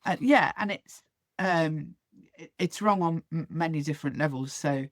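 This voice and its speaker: A woman's voice: low voice, normal speed, dry recording. Podcast host woman, dry close sound. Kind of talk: interview questions.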